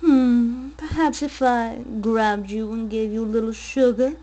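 A flirtatious lady character, played by a woman. Her fairly high voice is coy and gracious, lingering over words as she teases. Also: fairly even intonation, mellow, close to an everyday voice, poor audio. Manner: coy, teasing, drawn-out.

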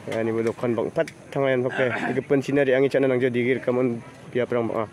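A man's voice: medium pitch, steady tone, a little fast, a crowd in the background. A man filming a casual walking vlog on his phone at a rural outdoor fair, chatting and greeting people as he goes. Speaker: vlogger. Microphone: handheld phone camera.

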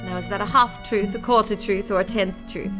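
A woman's voice: medium pitch, fairly even intonation, fairly quick, loud music behind the voice, natural voice. A woman playing a polite, curious young lady in a radio play, earnest and a little tentative. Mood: relieved, teasing.

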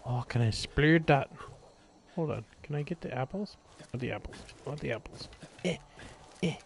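A man's voice: mid-range voice, expressive, normal speed, vocal fry, slight background noise. A man giving casual, sweary video game commentary, often playfully repeating himself in a sing-song way. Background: video game music and sounds.

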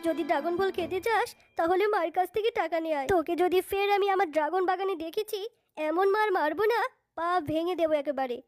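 A haughty tigress mother from a children's cartoon, acted by a woman, with an extremely high voice. She scolds with open contempt and sharp threats. Music plays behind her. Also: fast talker, no room sound, clean studio sound. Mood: threatening. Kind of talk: cartoon voice acting.

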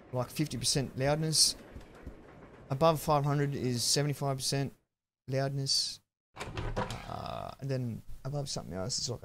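Australian man, thirties, game streamer, casual chat, headset mic, mid-pitched, faint background hum. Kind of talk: casual live-stream chat while gaming.

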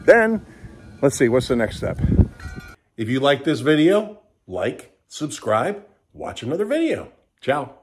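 Hoarse man chatting on a walk-through. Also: medium pitch, wildly swooping pitch, measured pace, soft background music. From Kentucky. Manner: chatty and folksy, trailing off with fillers. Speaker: real estate agent giving a property tour. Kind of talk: casual walk-through chat. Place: outdoors on a rural property. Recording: outdoor noise with a passing vehicle.

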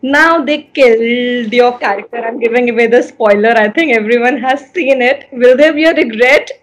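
A woman's voice: fairly high pitch, moderate pace. A young woman hosting an entertainment interview over a video call, asking chatty, enthusiastic questions. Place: indoor room. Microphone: laptop camera on a video call.

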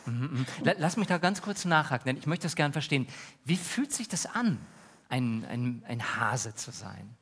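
A man in his thirties playing an earnest, polite talk-show host with a formal, measured manner. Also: medium pitch, sing-song, fairly bright tone, slightly rough, full character voice. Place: large brick-walled indoor stage. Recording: reverberant theatre hall.

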